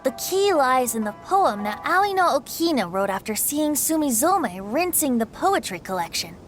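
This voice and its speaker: A lively bard in an anime game, spinning and explaining old tales. The voice is a bit high and very expressive. Music plays clearly behind it. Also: female voice, moderate pace. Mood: amused explaining.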